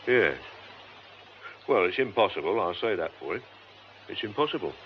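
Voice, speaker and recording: An actor playing a gruff, plain-spoken British police sidekick in a radio drama. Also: male voice, low voice, big pitch swings, normal speed, lo-fi sound, a little hiss or hum. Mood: grumbling, pondering.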